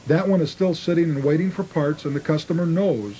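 A man's voice: fairly high pitch, a little fast, dark tone. A man giving informal answers in an interview about his craft. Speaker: model train restorer being interviewed.

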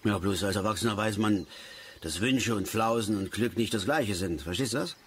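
A man's voice: on the low side, normal speed, slight background noise, close to an everyday voice. An actor playing a cantankerous, opinionated middle-aged father and angler in a radio play, lecturing and easily worked up. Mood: gruff, dismissive. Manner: blustering, lecturing, repeats words in agitation. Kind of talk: naturalistic radio play acting.

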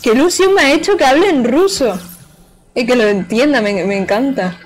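A woman's voice: sits high, normal speed. Chatty woman streaming a game. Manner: chatty, playful, talks to chat and the game. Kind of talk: casual live gameplay commentary.

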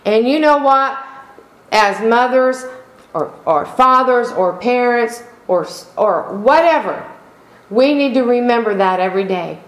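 A middle-aged woman pastor delivering a sermon in slow, emphatic preaching style, calling out for amens. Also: fairly high pitch, lively intonation. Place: church sanctuary. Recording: echoey room.

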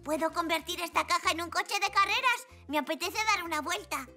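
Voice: very high-pitched, a little fast, pushed and bright, soft background music, exaggerated voice. A performer voicing a curious, imaginative young zebra boy in a cartoon, eager and playful. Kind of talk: cartoon dub acting.

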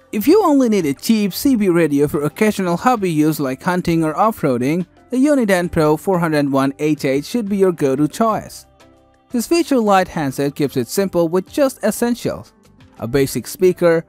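A man's voice: a little high, a little mellow, dry recording. A man reading scripted narration for a product countdown review.

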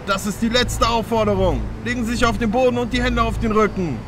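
Urgent, high-pitched male patrol cop played by a male actor in an audio drama, agitated and commanding, noisy street around him. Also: steady tone, fast talker. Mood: stern, commanding.

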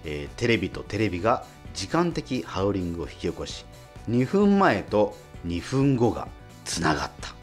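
Lively male radio host, very varied pitch. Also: moderate pace. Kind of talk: casual radio chat. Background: faint music bed.

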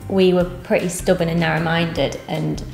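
Low-voiced young woman, earnest. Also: little pitch movement, normal speed, vocal fry, a little mellow, echoey room, faint music behind, poor audio. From England. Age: 20s. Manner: earnest, plain-spoken with many ums. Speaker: bakery co-owner. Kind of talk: interview answers. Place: cafe interior, seated on sofa.

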